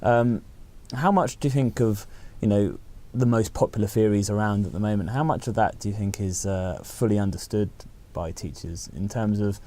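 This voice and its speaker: A man's voice: on the low side, moderate pace, few pauses, mellow. A man hosting a podcast, asking loosely phrased questions in a conversational way. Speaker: podcast host. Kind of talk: podcast interview.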